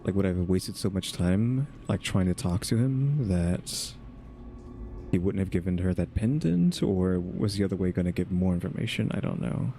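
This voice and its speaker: A man's voice: low voice, lively intonation, moderate pace, mellow, a little hiss or hum. A man giving casual, chill commentary on a video game, rambling and musing aloud. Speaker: gaming video host.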